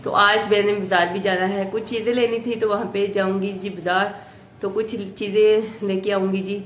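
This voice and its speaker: A woman from Pakistan with a Pakistani Urdu accent, vlogging her daily routine at home, chatting softly with a hoarse voice from a sore throat. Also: mid-range voice, steady tone, normal speed, slight background noise. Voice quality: soft, chatty, full of blessings and "ji".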